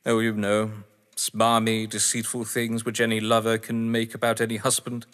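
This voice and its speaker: A man's voice: fairly low pitch, monotone, moderate pace, a bit bright, lightly acted. A man acting in an audio drama as a witty, haughty husband under questioning, verbose, sardonic and theatrical. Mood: bitter, sardonic. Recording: echoey hall-like reverb.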